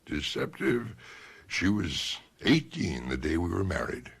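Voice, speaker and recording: Deep, gravelly elderly husband. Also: male voice, very animated, a little slow, full character voice. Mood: amused. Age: older. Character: elderly husband in his sixties, courteous, amused. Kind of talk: radio mystery drama acting.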